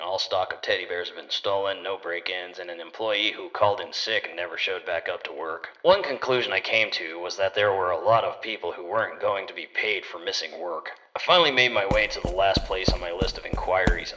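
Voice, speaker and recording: A man playing a private detective, narrating his case in a measured, matter-of-fact noir radio-serial style. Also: low voice, bright, forceful tone, recorded from a distance, dull audio.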